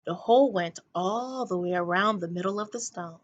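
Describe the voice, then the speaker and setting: A woman teacher reading a children's story aloud in an expressive narrating style. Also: mid-range voice, moderate pace, highs cut off.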